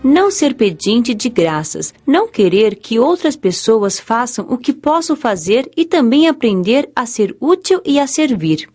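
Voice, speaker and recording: An actress voices an earnest young female spirit with a Brazilian accent, curious and expressive as she tells her story. Her pitch moves in lively waves over clear background music, in the manner of a dramatized audiobook. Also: a little slow, few pauses. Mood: moved, resolute.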